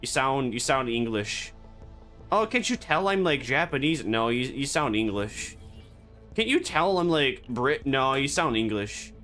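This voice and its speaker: Man, bright voice, lively pitch, rambling jokey stream chatter, faint game music. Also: a little slow. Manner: rambling, joking, absurd deadpan banter. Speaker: VTuber streamer. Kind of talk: casual gaming stream chatter.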